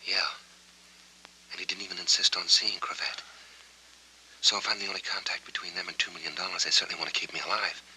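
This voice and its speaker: A haunted American war veteran, terse and hard-boiled. The voice sits very low yet cuts with a piercing, tense edge, and the words come quickly. It has the sound of 1940s film noir. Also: male voice, fairly even intonation, pushed and bright, a little hiss or hum, low-quality recording. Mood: puzzled. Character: haunted amnesiac war veteran, tense, bitter, weary man.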